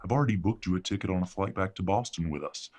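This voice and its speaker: A man's voice: sits low, quick pace, darkish tone. A man playing a middle-aged, imperious werewolf patriarch in an audio drama, speaking formally and condescendingly as he controls his family. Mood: controlling, patronizing. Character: imperious wealthy werewolf patriarch, coldly polite, controlling father. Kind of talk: scripted audio drama acting.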